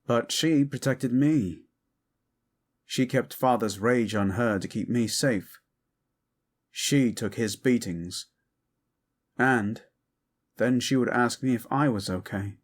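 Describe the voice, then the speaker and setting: An actor playing a guilt-ridden, vengeful prince in an audio drama, earnest and subdued. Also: male voice, medium pitch, slow, long pauses. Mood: brooding, guilty. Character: brooding wounded prince, guilt-ridden and vengeful, protective of sister.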